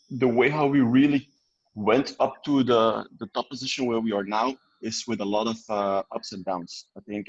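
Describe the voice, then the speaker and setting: Guy on a lo-fi video call, low voice, lots of uhs. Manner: hesitant, many fillers. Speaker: founding team member. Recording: dull lo-fi call audio.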